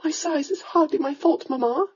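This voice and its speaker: A woman's voice: high-pitched, expressive, brisk, warm tone, husky, dull audio, pitched above the performer's own voice, exaggerated voice. A woman voicing a reluctant young noblewoman answering her mother in a subdued, patient way. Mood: weary. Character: tall, reluctant young noblewoman, weary daughter.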